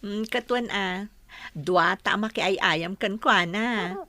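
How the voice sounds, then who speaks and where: A woman's voice: medium pitch, slow, bright, forceful tone, no echo. A woman with a Filipino accent playing a doting, playful mother who coos to her baby in a radio drama. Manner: cooing, playful.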